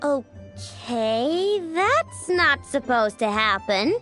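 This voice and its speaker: A woman voicing a cheeky, excitable young girl inventor in a video game, casual, bossy and expressive. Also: high-pitched, extremely slow, soft background music, heavily acted. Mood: curious, bossy.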